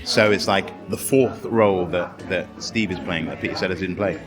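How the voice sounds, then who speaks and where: Low-voiced, enthusiastic man at a party. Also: normal speed. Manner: enthusiastic, searching for words. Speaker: interviewee from the production. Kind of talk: casual chat interview. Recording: loud music behind. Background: party music.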